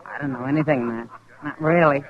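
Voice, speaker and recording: A woman's voice: rumbling low, fairly quick. Worldly american western woman, plain talking and guarded, old muffled radio drama sound. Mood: guarded, curious. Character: guarded, worldly frontier woman, casual and curious. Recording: dull vintage radio recording.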